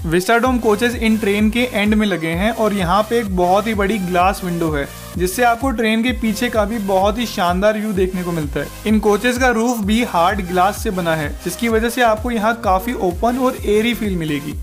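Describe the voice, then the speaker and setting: A man's voice: high voice, normal speed, few pauses, soft background music. A man hosting a travel channel, reading a scripted voice-over in an upbeat, recommending tone.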